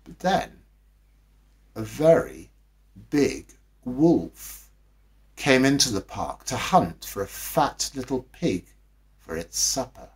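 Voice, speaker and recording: A man reading a scripted bedtime story as narrator, with expressive, dramatic delivery. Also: mid-range voice, slow pace, long pauses. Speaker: storybook reader.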